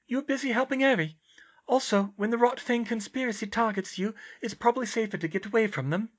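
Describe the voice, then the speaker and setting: A serene, eccentric storybook girl in her teens, put on by a man. The pitch floats very high, and the delivery is sweet and earnestly serious. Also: fairly quick. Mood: serenely serious. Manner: serene, very serious about odd claims.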